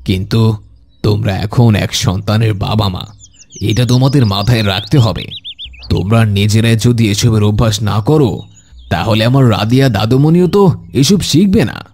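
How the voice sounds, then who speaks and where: Man acting a deep-voiced, kindly old grandfather. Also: a little mellow, faint music behind. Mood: gently admonishing. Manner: instructive, measured. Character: kindly, pious, gently admonishing elderly grandfather. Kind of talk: Islamic moral cartoon dialogue.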